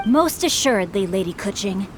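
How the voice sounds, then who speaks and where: A woman voicing a genteel, self-assured teenage boy of high birth in an anime-style game, polished and courtly. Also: lively intonation, moderate pace, music in the background, close to an everyday voice. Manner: polished, courtly, lightly theatrical.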